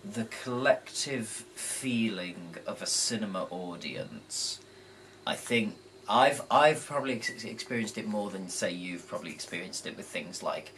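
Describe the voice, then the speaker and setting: Young male co-host, deep and croaky, unhurried, rambling, faint background noise. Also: very animated, fairly bright tone, poor audio. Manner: rambling, self-correcting. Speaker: co-host vlogger. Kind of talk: casual film review chat. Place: bedroom at home.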